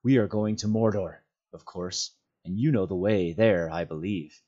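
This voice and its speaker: A man's voice: deep voice, warm tone, dull audio. A man voicing an earnest hobbit master in a storybook reading, stern yet merciful, speaking firmly and solemnly. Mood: quiet, stern. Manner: firm, solemn, measured.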